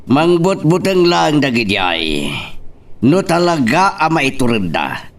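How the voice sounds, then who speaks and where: A man plays a stubborn, brooding husband in a radio-style drama. He speaks slowly in a creaky, mid-pitched voice, slightly away from the mic. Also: wildly swooping pitch, recorded from a distance, heavily acted. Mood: brooding, dismissive.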